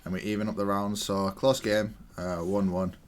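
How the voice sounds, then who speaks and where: A man's voice: fairly low pitch, little pitch movement, moderate pace. A man giving casual, matter-of-fact commentary as he analyses a video game match, explaining each move. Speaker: gaming content creator.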